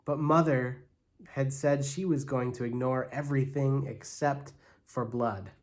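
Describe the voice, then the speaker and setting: Male storyteller, mid-range voice, expressive and animated, reading a storybook aloud for children. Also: mid-range voice, a little slow. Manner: expressive, acts out characters' lines. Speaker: church staff storyteller.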